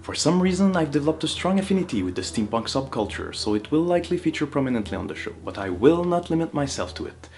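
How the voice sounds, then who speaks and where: A man's voice: on the high side, very animated, quick pace, hardly any pauses, darkish tone, voice pushed up. A man playing a grandiose steampunk baron with a French Canadian accent. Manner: grand, formal, declaiming. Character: theatrical male steampunk baron from another reality. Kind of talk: scripted in-character monologue.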